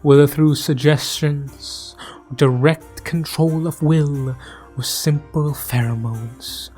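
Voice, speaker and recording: A man acts as an ominous, grandiose chronicler of a dark fantasy universe, warning the listener of horrors. The delivery is extremely slow and melodramatic, often sinking toward hushed whispers. Music plays under this theatrical audio drama narration. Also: fairly high pitch, fairly even intonation, breathy edge. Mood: grave, knowing.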